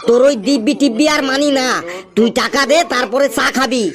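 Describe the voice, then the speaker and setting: Performed cartoon shopkeeper, high-pitched, grumpy, stubborn, insistent, comedic exasperated scolding. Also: fairly even intonation, a little fast, a bit bright. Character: grumpy, stubborn tea stall keeper, cartoon man.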